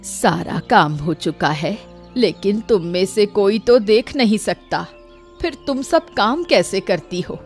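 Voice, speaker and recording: A woman plays a weary elderly village mother from a cartoon moral tale. She grumbles in a mid-pitched voice and takes her words slowly, with music playing underneath. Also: expressive. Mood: puzzled. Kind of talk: Hindi cartoon moral-story dialogue.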